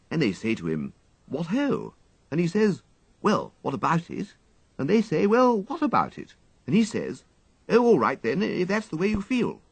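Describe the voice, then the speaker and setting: Fretful, high-pitched posh young gentleman. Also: creaky, slightly rough, dry recording, full character voice. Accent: upper-class English. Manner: exclamatory, dithering, drawling dandyish complaint. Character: fretful young upper-class English gentleman, flighty and plaintive.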